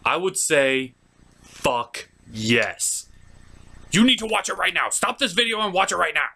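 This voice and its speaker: Male review host: punchy, sharp-edged voice, very varied pitch, emphatic and opinionated, informal delivery. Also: lively intonation, measured pace, creaky. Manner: emphatic, opinionated, casual and blunt. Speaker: host of a cartoon review show. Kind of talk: enthusiastic scripted video review with casual asides.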